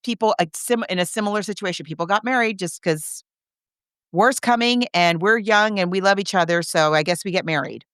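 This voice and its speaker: A woman co-hosting a casual book discussion, recapping a story in an animated, chatty way with amused asides. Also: mid-range voice, a little fast, clean tone, dry recording, studio quality. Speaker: podcast co-host.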